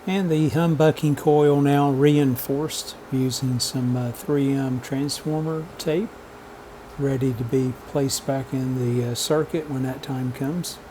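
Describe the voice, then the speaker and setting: A man narrating a vintage radio repair as a relaxed how-to voice-over, matter-of-fact and unhurried, with frequent "uh" pauses. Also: medium pitch, slight background noise. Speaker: radio restoration hobbyist.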